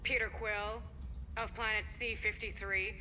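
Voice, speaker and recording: An actress playing a stern, sardonic space-police commander in a game, authoritative and dry in manner. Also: female voice, mid-range voice, level tone, measured pace, bright, forceful tone, a little hiss or hum, rough recording. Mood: disapproving.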